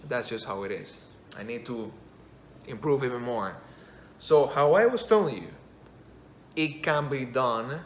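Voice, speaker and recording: A man's voice: mid-range voice, a bit bright, slight background noise. Rambling man, non-native English speaker. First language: not English. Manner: rambling, self-correcting, enthusiastic. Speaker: game commentator. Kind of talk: casual spoken review. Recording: echoey room, faint ambience.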